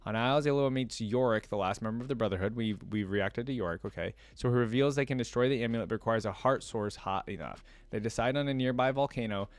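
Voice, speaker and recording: Man, medium pitch, casual reaction commentary, informal asides, reading aloud, dry close-miked sound. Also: dry recording. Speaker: reaction video creator.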